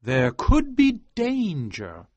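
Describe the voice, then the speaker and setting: A man voicing an intense, ambitious male scientist husband in an earnest, sometimes drawn-out way. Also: sits high, huge pitch swings, no echo, voice pushed up, exaggerated voice.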